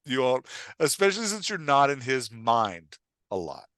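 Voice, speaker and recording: A man hosting and coaching in an animated, conversational way, emphatic and often checking that he is being understood. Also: medium pitch, normal speed, dry recording, clean studio sound. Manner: acts out short example lines of dialogue. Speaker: podcast host and writing coach.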